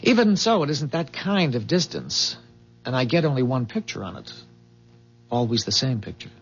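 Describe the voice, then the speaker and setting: An actor playing an earnest, secretive inventor from a bleak future in a 1970s radio drama, explaining things with hesitant, measured pauses. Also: male voice, mid-range voice, big pitch swings, husky, soft background music. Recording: muffled 1970s radio broadcast.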